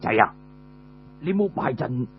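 A man voicing an elderly army commander in an audiobook tale, a slow, halting, paternal father figure. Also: medium pitch, animated, dull audio, faint music behind, pitched below the performer's own voice. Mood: paternal, weighty. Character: elderly male army commander, weighty, privately grumbling father.

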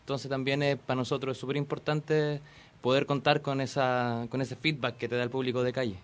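A man's voice: mid-range voice, little pitch movement, fairly quick. A man, a theater actor on a radio interview, explaining fluently and earnestly. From Chile.

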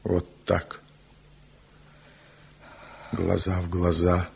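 An actor playing Zhivko, a passionate rejected lover and master carver, in slow, brooding, impassioned declamation in an old radio drama. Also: male voice, low-pitched, completely flat delivery, creaky, a little hiss or hum, exaggerated voice. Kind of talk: 1970s radio drama acting. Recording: dull lo-fi old radio recording with music.

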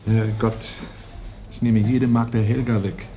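An actor playing an exasperated, hard-up brother who refuses to help, in a radio crime play. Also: male voice, lowish voice, level tone, measured pace, natural voice. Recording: clearly noisy background.

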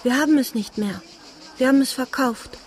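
An actress playing a wistful, dignified young lady of a ruined family in a German radio drama, speaking in a breathy, earnest and measured way. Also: high-pitched, natural voice. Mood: direct, reserved.

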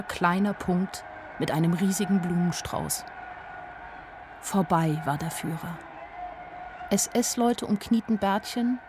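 An actress playing a naive, sharp-eyed young woman who tells her own story in a radio play, artless and plain-spoken. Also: on the low side, background noise, lightly acted. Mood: wry, observant. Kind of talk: first-person radio play narration.

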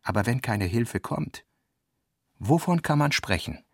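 Gravelly male narrator, close and dry. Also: medium pitch, expressive, measured pace, dry recording. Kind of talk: literary narration in a radio play.

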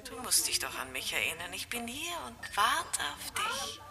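An eerie insistent caller. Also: female voice, medium pitch, wildly swooping pitch, moderate pace, crowd noise. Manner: insistent, drifting into whispers. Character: Faye, eerie woman calling from a station. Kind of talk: radio drama acting.

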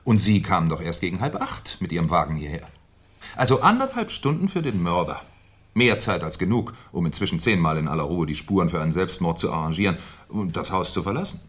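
A very low-voiced, shrewd police commissioner in a 1970s radio crime play. He muses aloud with sly politeness, his husky delivery rising and falling in lively swings as he probes a suspect. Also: brisk, full character voice. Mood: puzzled, deliberate. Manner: musing, hesitant, slyly polite questioning. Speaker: detective in a crime drama. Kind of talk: 1970s German radio crime drama acting.

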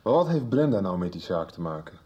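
A man's voice: sits low, very animated, fast talker, darkish tone, breathy edge, dull audio, full character voice. A young man playing a blunt, sarcastic son in a radio soap. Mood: sardonic. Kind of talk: radio drama acting.

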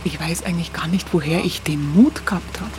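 An actress playing an earthy woman, recalling wartime Munich in halting, colloquial radio play speech. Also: lowish voice, background noise, natural voice. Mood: wistful. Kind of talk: naturalistic radio play reminiscence.

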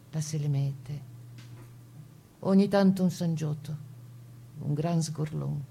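A woman playing a sorrowful village storyteller, reciting dramatic verse in a slow, measured way with a Veneto accent. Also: low voice, gravelly, warm tone, a little hiss or hum, full character voice. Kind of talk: dramatic dialect poetry recitation.

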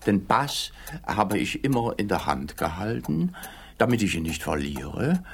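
An actor playing an odd, guileless middle-aged East German client in a comic radio play, hesitant, rambling and naively literal. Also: male voice, on the low side, big pitch swings, a little slow, gravelly, husky, exaggerated voice. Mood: sheepish, hesitant.

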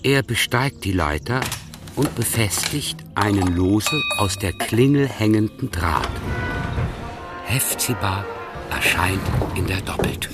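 Very low-voiced male radio play narrator. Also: animated, slow, over loud music. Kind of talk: scripted literary narration.

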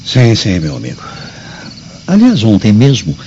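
A man's voice: on the low side, huge pitch swings, a little slow, warm tone, slight background noise, full character voice. An actor playing a courteous, suspicious Roman nobleman in a radio drama, formal and confiding. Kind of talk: old-fashioned radio drama acting. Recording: dull lo-fi radio drama with music.

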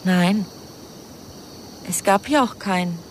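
A woman's voice: lowish voice, takes it slowly, a little hiss or hum. An actress playing a resentful young woman confiding secrets hesitantly in a radio crime drama. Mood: confiding, bitter.

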